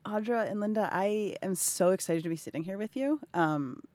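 A woman's voice: medium pitch, animated, normal speed, warm tone, no room sound. A woman in her thirties speaking as an interviewer in a heartfelt, effusive and emotionally open conversation. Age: 30s. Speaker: interviewer and health advocate.

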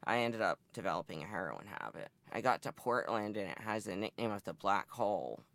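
Brief: twenties man from Massachusetts, candid interviewee, low gravelly voice, dry close sound. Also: expressive, a little fast, a bit bright, dry recording. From northeastern Massachusetts. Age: 20s. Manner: candid, matter-of-fact storytelling. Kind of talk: oral history interview.

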